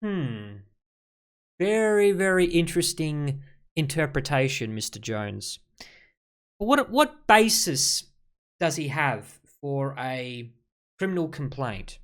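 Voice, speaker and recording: A man's voice: a little high, big pitch swings, slow pace, long pauses, a bit bright, clear, resonant tone. A man ad-libbing mocking reaction commentary as host, sarcastic and exasperated. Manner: sarcastic, exasperated, addressing the person he critiques.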